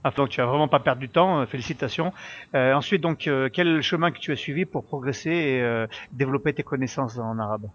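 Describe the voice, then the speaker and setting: A man hosting a podcast interview who asks his guest clear, orderly questions in a polite way. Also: mid-range voice, a little fast, fairly bright tone, highs cut off. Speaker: podcast host.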